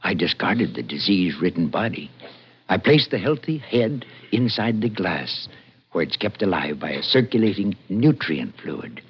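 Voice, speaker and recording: An actor playing an anguished, secretive scientist with a husky voice, agitated and halting, in 1940s radio suspense style. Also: male voice, sits low, very animated, exaggerated voice. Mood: anguished confession. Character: frantic, guilt-ridden professor, secretive mad scientist. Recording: dull old radio recording.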